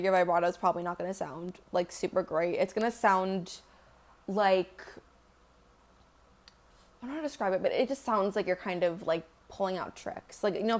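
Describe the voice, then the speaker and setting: Young woman, casual vlog chat, rambling, swears offhandedly, mid-pitched voice. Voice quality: deeper singing voice. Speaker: singer answering fan questions.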